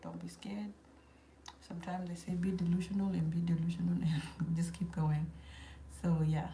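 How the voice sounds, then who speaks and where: A woman's voice: on the low side, little pitch movement, moderate pace, mellow. A woman with an East African accent chatting informally on a livestream as she answers viewers' questions. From South Sudan, grew up in Kenya. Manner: informal, switches between English and Swahili. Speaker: host of a hair channel. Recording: roomy echo, faint background noise.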